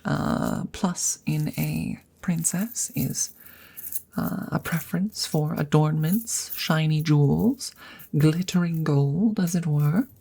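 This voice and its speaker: Woman voicing a husky, hesitant, courteous dragoness. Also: low-pitched, darkish tone, heavily acted. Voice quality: husky, breathy, often slipping into whispers. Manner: hesitant, halting, formal and polite. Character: awkward, courteous, smitten female dragon interviewing a princess. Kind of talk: ASMR roleplay, close and often whispered.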